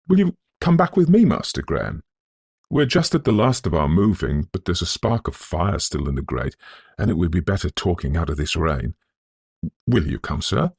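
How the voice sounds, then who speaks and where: A man's voice: low voice, very animated, moderate pace, creaky, a little mellow, husky, dry recording, full character voice. A man voicing a humble, kindly working man in a storybook reading, deferential and earnest towards his young master. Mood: coaxing.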